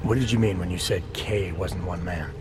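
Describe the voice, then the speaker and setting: An actor playing a controlled, coolly probing male agent in a video game, with clipped, deadpan questioning. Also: low voice, normal speed, over music, close to an everyday voice. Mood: dry, probing.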